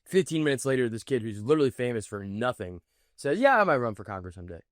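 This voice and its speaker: A man's voice: mid-range voice, big pitch swings, quick pace, no room sound, clean studio sound. A man hosting a political reaction video, commenting in an indignant, mocking way. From United States. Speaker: commentary host.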